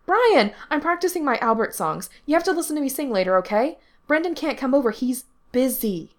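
A woman's voice: high-pitched, lively intonation, pitched above the performer's own voice. A woman voicing a self-centred, dramatic young boy in a story, demanding and emphatic. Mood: earnest, bossy. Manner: demanding, rapid questioning, emphatic.

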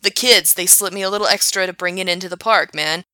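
A man's voice: high voice, quick pace, bright, forceful tone, smooth, no echo. A woman voicing a shady young male worker with a nasal, sneering voice. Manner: sneering, mocking.

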